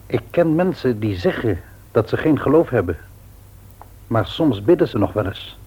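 A man playing an earnest, patient, devout middle-aged father in a radio play, with measured delivery and a rough-edged husky voice. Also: mid-range voice, sing-song, muffled recording. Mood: sombre, resigned. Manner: measured, grave, deliberate. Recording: old radio play recording.

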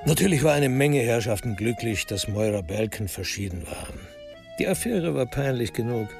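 A man narrating a scripted radio crime drama in a wry, measured storytelling manner. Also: medium pitch, expressive, creaky, over music.

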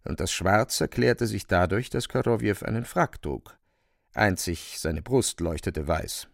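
A man narrating a literary radio drama in a creaky voice, deliberately, phrase by phrase. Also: lowish voice, lively intonation, dry recording, studio quality. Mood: measured, wry. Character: measured literary narrator of a dark fantastic tale.

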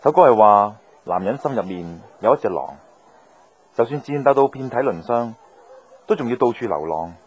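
A man's voice: medium pitch, expressive, normal speed, darkish tone, close to an everyday voice. An actor playing an easygoing, philosophical male wanderer in a Cantonese radio drama.

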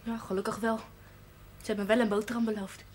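A woman's voice: mid-range voice, little pitch movement, fast, darkish tone, slight background noise, natural voice. An actress playing a naive, cheeky and stubborn young village girl in a television period drama, blunt and artless. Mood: cheeky, uneasy.

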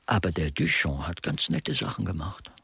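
An actor playing an elderly, gossipy, cynical aesthete in a crime radio play, speaking in a husky, breathy voice with affected relish. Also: male voice, low voice, very animated, moderate pace, pushed and bright, no room sound, exaggerated voice. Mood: wry, musing. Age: older. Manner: affected, musing, theatrically relishing words. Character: Meiser, elderly male honorary guest artist, gossipy, cynical aesthete. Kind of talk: German crime grotesque radio play dialogue.

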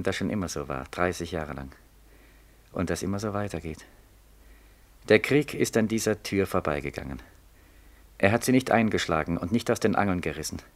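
An actor playing a bewildered, desperate soldier home from Siberia in a 1940s German radio drama, with a plaintive, insistent delivery. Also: male voice, low voice. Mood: brooding, wistful. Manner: insistent, repetitive questioning.

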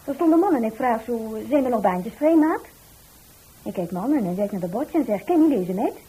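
A feisty, humorous young working girl in a radio drama. Her voice is a bit high, soft-edged and strongly breathy-husky. She speaks quickly, bluntly and with emphasis. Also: lively intonation, low-quality recording. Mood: wry, lively. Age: young adult. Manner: emphatic, indignant, plain-spoken. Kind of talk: radio drama dialogue in Dutch.

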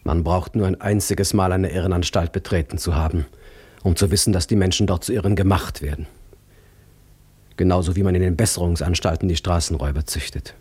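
An actor playing an indignant essayist in a literary radio drama monologue, husky, formal and rhetorical. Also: male voice, low-pitched, fairly even intonation, a little fast, a little mellow. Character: indignant male essayist denouncing asylums and institutions.

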